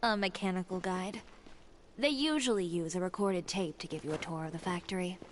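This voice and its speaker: A woman voicing a composed, watchful young adventurer in an anime game, explaining things clearly. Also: on the low side, a little fast, noisy background, natural voice. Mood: calm.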